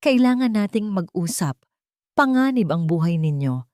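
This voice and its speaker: A woman's voice: mid-range voice, very animated, normal speed, dark tone, no room sound, studio quality, natural voice. A woman voicing a frightened, pleading uncle with a trembling voice in an audio story. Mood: pleading, fearful. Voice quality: trembling, breaking voice. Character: terrified pleading uncle, weepy and desperate man.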